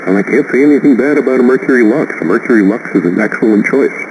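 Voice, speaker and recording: A man explaining things in a measured, opinionated way in a casual amateur radio chat, heard over shortwave. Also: medium pitch. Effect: shortwave radio transmission. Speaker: amateur radio operator giving technical advice. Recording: hissy, noisy shortwave radio reception. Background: radio static and hiss.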